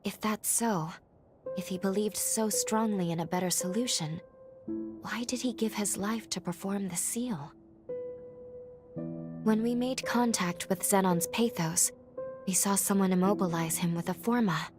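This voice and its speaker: Game companion, female actor: earnest, polite young woman, sincere and measured, roomy, slightly distant sound with faint music. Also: mid-range voice, natural voice. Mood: uneasy, questioning. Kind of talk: video game cutscene acting.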